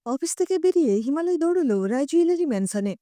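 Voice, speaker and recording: A woman narrating a scripted short story in an expressive storytelling style. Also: sits high, fast talker, no room sound.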